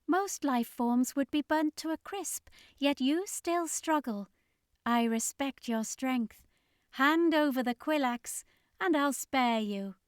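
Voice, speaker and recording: A woman voicing a proud, vengeful octopoid alien emperor in a slow, deliberate, imperious manner for a story reading. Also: sits high, no echo, clean studio sound, pitched above the performer's own voice. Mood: cold, imperious. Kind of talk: audiobook character voice.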